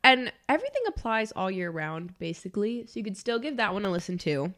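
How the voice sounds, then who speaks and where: A woman's voice: medium pitch, very animated, fairly quick, fairly bright tone. Woman, twenties, congested, stuffy nose, casual podcast chat, filler-heavy. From Miami, Florida. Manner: chatty, many "like" fillers. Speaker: podcast co-host.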